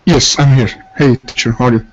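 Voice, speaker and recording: A man's voice: mid-range voice, fairly even intonation, brisk, dull audio, a little hiss or hum. A man, eagerly introducing himself in learner English on a video call. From Egypt. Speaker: student.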